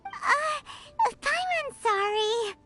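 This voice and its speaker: Squeaky, cheeky little fairy companion. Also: female voice, expressive, very slow, exaggerated voice. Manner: squeaky, third-person self-reference, blunt. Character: tiny floating childlike fairy companion, cheeky and excitable. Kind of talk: video game voice acting. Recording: music behind the voice.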